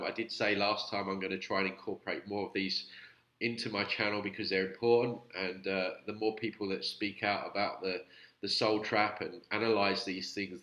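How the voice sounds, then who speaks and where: A man with a cold gives loose, rambling commentary full of fillers, his voice audibly nasal. His tone is bright and forceful over a low pitch. The room adds a noticeable echo. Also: highs cut off. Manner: chatty, rambling, with many fillers. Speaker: YouTube channel host reviewing videos. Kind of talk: casual vlog commentary.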